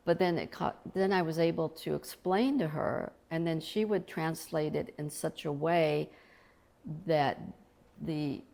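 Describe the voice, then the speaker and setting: A woman's voice: sits low, fairly even intonation, measured pace, gravelly, a little mellow. A middle-aged woman, an author from Colombia, talking in an earnest, reflective way about faith in an interview.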